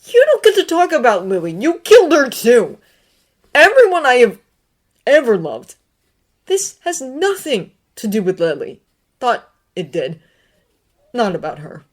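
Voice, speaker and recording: A woman's voice: fairly low pitch, expressive, slow pace, long pauses. A woman voicing an anguished, bitter grown man, a brooding teacher, with intense pleading outbursts. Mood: desperate.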